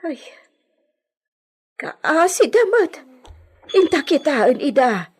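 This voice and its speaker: A woman playing an excitable village woman with a very raspy voice and an Ilocano accent in a comedy radio drama. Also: high-pitched, extremely slow, exaggerated voice. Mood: shocked.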